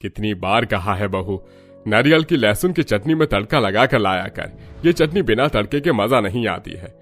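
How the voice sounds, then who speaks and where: A man voicing a fault-finding, grumbling older father-in-law in a Hindi animated family story. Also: on the low side, moderate pace, faint music behind.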